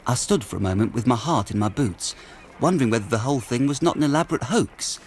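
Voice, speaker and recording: A male actor plays an excitable young Victorian clerk with a British accent. His mid-pitched voice moves up and down a lot, polite and earnest, over clear background ambience in a radio play. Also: fast talker, slight background noise, close to an everyday voice. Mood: uneasy, narrating. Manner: earnest, polite, eager storyteller. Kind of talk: period British radio drama acting.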